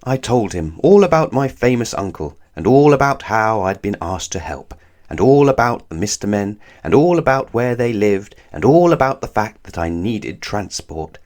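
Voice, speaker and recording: A man reads a children's storybook aloud. His voice sits on the low side and moves expressively up and down as he narrates. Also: sing-song, moderate pace, a little mellow. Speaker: storybook reader.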